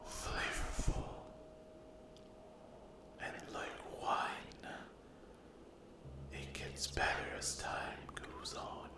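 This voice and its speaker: A man playing a menacing, hungry sleep paralysis demon in a whispered ASMR roleplay, breathy and deliberate, taunting the listener. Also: echoey room. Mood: hungry, savoring. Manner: very slow, deliberate, menacing, with long pauses.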